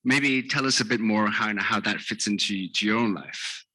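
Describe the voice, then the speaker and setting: A man's voice: fairly low pitch, normal speed, bright, forceful tone, breathy edge. A man hosting a press briefing, putting questions to guests in a polite, informal, slightly hesitant way. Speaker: event host and organizer.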